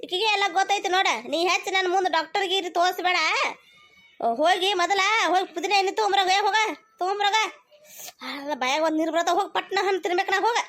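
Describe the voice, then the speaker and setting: A woman's voice: very high voice, steady tone, fast talker, fairly bright tone. A woman voicing a bossy, stubborn, greedy mother-in-law in a cartoon comedy, scolding insistently with a North Karnataka accent. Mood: scolding, impatient.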